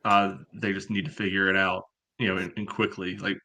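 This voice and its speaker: A man's voice: low voice, little pitch movement, brisk, bright, forceful tone, slight background noise. A man giving blunt, matter-of-fact opinions as a panelist on a casual sports podcast.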